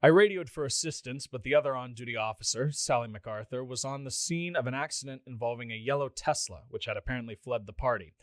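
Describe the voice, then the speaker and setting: A man's voice: mid-range voice, quick pace, hardly any pauses, dry recording, natural voice. A man playing an exasperated middle-aged police officer reading out an official report in a radio play. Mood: grim, bewildered. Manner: official, dry, flustered asides. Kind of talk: scripted radio play monologue, formal report.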